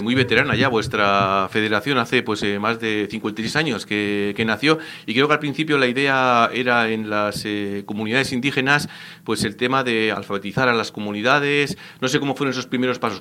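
A man's voice: medium pitch, steady tone, fairly quick, bright, forceful tone. A man hosting a radio interview, speaking with frequent hesitations and fillers. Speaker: radio show host.

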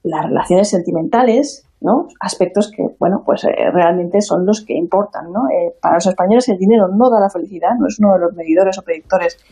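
A woman's voice: on the low side, brisk, hardly any pauses, mellow, husky. A woman with a Spain Spanish accent, explaining survey results on a radio show. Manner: explanatory, with fillers. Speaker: radio contributor. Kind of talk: presenting a report.

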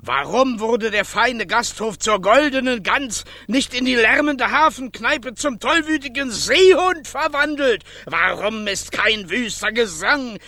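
An actor playing a small, eager, earnest festival director in a radio play for children, with a husky voice and a commanding manner. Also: high-pitched, bright, forceful tone, no echo, full character voice.

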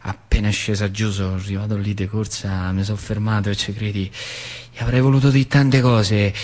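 A man's voice: fairly low pitch, a little mellow. A man with a central Italian accent from Lazio performing a theatrical dialect monologue as an old factory worker recalling his past, colloquial and fond of repeating phrases. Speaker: stage actor.

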